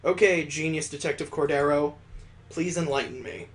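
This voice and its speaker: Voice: medium pitch, fairly even intonation, moderate pace, voice pushed down, lightly acted. A game streamer voicing an earnest, worried young son. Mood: unsure.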